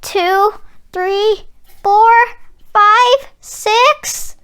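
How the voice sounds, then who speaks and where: A woman's voice: extremely high pitch, very slow, a bit bright, pitched above the performer's own voice, full character voice. A woman voicing a frightened, earnest young girl in a children's storybook reading. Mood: anxious counting.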